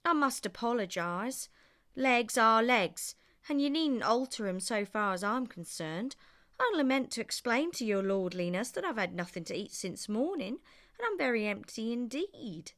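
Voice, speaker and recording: A woman's voice: a little high, animated, normal speed, a bit bright, voice pushed up. A woman voicing a tired, aggrieved old male kangaroo in a storybook, plaintive and emphatic. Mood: apologetic. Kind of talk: storybook character voice.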